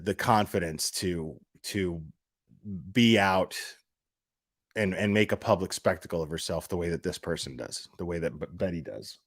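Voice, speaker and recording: A man's voice: sits low, fairly bright tone, dry recording, studio quality. A man speaking casually and off the cuff like a live streamer on a rant, blunt and profane, repeating phrases for emphasis. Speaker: livestream commentator.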